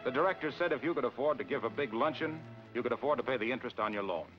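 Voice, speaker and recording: A man's voice: mid-range voice, animated, very fast, natural voice. An actor playing a breezy, friendly small-town bank man in 1940s film style. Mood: affable. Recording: dull old 1940s film soundtrack, music.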